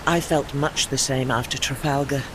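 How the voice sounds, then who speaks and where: A man in an audio play acting as a refined, melancholy old retired warship with gentle dignity and formal, genteel speech. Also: medium pitch, steady tone, moderate pace, noisy background, natural voice. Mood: wistful, resigned.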